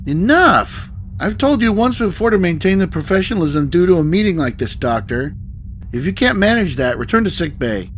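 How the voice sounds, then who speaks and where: Actor as stern male starship captain, lively intonation, authoritative, formal, diplomatic, sci-fi audio drama, faint ambient noise. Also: high-pitched, normal speed.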